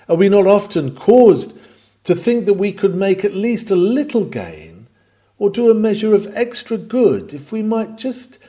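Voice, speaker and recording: A man reading a Victorian sermon aloud in an oratorical manner, with deliberate pauses and emphasis. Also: fairly high pitch, mellow. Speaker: reader of a historical sermon.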